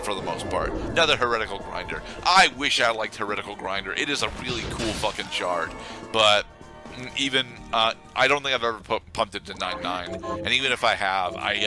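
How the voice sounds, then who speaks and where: A man giving casual video game commentary, explaining things in a halting, rambling way. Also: on the low side. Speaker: let's play commentator. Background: video game music.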